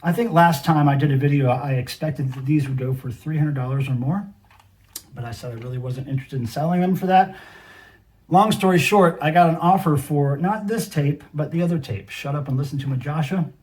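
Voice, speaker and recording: A man's voice: fairly high pitch, a little fast, darkish tone. A middle-aged man hosting a casual show-and-tell, talking to viewers directly with a collector's enthusiasm. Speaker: video host, cassette collector.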